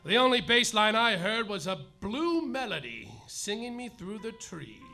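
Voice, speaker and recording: An actor playing a melodramatic, heartbroken private detective, declaiming a parody noir story in a live comedy radio play. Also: male voice, sits high, sing-song, moderate pace, pushed and bright, soft background music, full character voice. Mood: heartbroken, dramatic. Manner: overwrought, declamatory. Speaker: lead actor and narrator.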